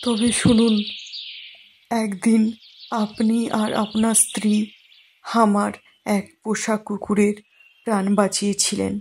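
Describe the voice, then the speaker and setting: A woman playing a kind, grateful, generous village man in a cartoon, speaking politely and gently. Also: mid-range voice, little pitch movement, measured pace, vocal fry, pauses often. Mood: grateful, earnest. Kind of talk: cartoon moral-story dialogue. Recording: faint noise with birdsong.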